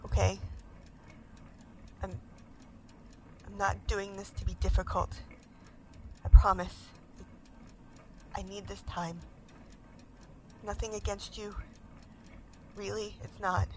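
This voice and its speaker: An actress playing a haunted, restless woman in an audio drama monologue, halting, intense and emotional. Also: mid-range voice, little pitch movement, slight background noise. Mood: uneasy, pained. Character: haunted, restless woman desperate to recover her lost identity.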